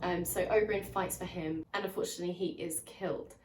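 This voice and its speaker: A woman's voice: fairly low pitch, fairly even intonation, quick pace, echoey room. Chatty woman host recapping a book, a bit blocked-up and nasal, kinda distant from the mic with some faint hiss behind. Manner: chatty, recaps plots with frequent "um" and "kind of". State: slightly nasal, stuffy from a lingering cold. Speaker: book reviewer and channel host. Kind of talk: casual spoiler-filled book review to camera.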